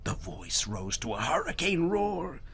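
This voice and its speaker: A man reading a children's story aloud in a dramatic, sometimes hushed storytelling manner. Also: fairly high pitch, very animated, measured pace.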